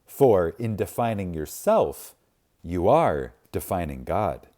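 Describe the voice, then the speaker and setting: A man reading a spiritual book aloud in a solemn, reverent manner, with deliberate pauses and emphasis. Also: deep voice, sing-song, warm tone. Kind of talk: reverent scripted reading, audiobook-like.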